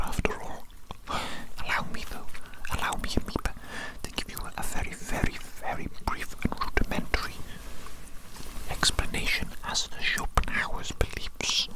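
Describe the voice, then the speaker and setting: A performer whispering an ASMR roleplay as a megalomaniac evil scientist, theatrical and deliberate, often repeating phrases. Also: rough recording. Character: megalomaniac evil scientist doting on his creation.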